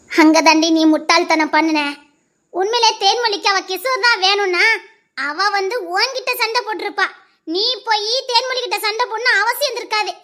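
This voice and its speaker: A woman's voice: very high voice, pushed and bright. An actress playing a mother who scolds her daughter and preaches about bad company at length. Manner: scolding, moralizing, in long proverb-like sentences. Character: stern mother lecturing her grown daughter.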